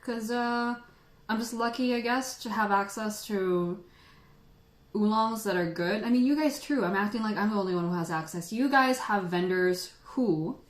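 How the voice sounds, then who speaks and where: Woman tea host from the Philippines, chatty and giggly, roomy echo around her, everyday pitch. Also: moderate pace. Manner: chatty and playful, laughs often. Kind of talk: casual livestream chat answering viewers. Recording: echoey room.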